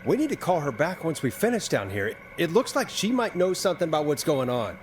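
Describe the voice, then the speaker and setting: A man's voice: on the high side, big pitch swings, a little fast, slight background noise. A man playing a thoughtful, puzzled radio host turned investigator in a video game, conversational and musing. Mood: puzzled, investigative.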